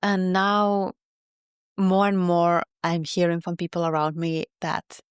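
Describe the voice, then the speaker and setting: A woman with a Finnish accent, a podcast guest speaking in an earnest, measured way. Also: fairly low pitch, steady tone, clean tone, no room sound, clean studio sound. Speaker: podcast guest, health coach. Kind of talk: reflective interview talk.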